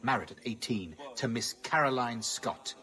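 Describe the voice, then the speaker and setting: An actor playing a stern eighteenth-century court official in a game, reciting formally and deliberately. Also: male voice, mid-range voice, sharp tone, natural voice.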